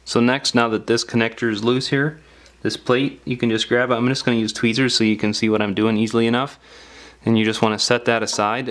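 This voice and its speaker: A man's voice: mid-range voice, fairly bright tone. A man giving casual, step-by-step hands-on instructions in a plain-spoken, informal way. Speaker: repair tutorial host.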